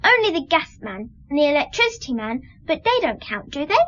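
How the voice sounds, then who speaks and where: A very high, childlike voice of a trusting, chatty little girl in a radio play. She speaks candidly in simple phrases, with lively swoops, on a slightly dull recording. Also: brisk. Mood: candid, innocent. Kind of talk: radio drama acting.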